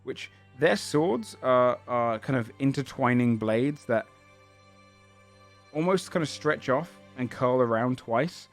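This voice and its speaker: A man's voice: medium pitch, lively intonation, slow, faint music behind. A man narrating a tabletop game as game master, describing the scene in a searching, descriptive way.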